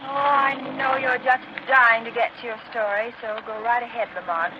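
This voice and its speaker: A woman's voice: on the high side, fairly even intonation, normal speed, dull audio, lightly acted. An actress playing a genteel society woman, fondly indulgent and lightly chiding, in a noisy 1930s radio drama.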